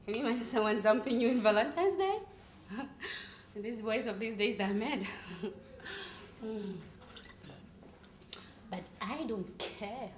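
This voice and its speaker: A woman's voice: on the high side, expressive, a little hiss or hum, pitched below the performer's own voice. A woman playing a volatile, heartbroken young woman in a melodramatic acting monologue. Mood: tipsy, hurting. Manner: melodramatic, tearful outbursts and drawn-out pleading. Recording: echoey room.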